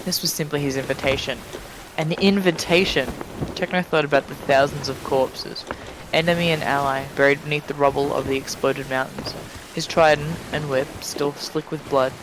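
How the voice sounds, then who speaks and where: A man's voice: on the high side, fairly even intonation, normal speed, background noise. A man reading fan fiction aloud dramatically as a streamer, dropping into casual chat, tripping on words and swearing offhandedly.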